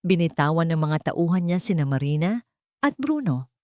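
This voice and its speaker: Expressive, lower-voiced woman telling a drama. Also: normal speed, no echo, clean studio sound. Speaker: storyteller. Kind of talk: dramatic scripted story narration.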